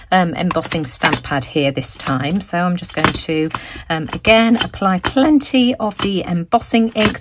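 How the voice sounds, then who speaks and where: A woman hosting a crafting video, explaining each step with plenty of ums, her voice a little croaky. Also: lowish voice, background noise. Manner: frequent ums, friendly explaining. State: slightly croaky voice. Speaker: crafting channel host. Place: craft table, indoors.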